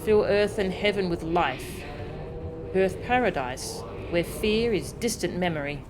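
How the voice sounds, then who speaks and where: A game streamer voicing a solemn, mournful ancient survivor from a fantasy game, speaking gravely like an incantation. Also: medium pitch, unhurried, natural voice. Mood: reverent. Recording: game music behind the voice.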